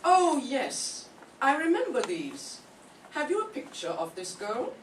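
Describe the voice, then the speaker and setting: A kindly old nun, played by an older woman in an old-time radio drama, gentle and unhurried. The voice is lilting and very slow, and it is picked up from far off in a reverberant room. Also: sits high, wildly swooping pitch, exaggerated voice. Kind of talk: 1940s radio acting. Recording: echoey room from far off, faint hiss.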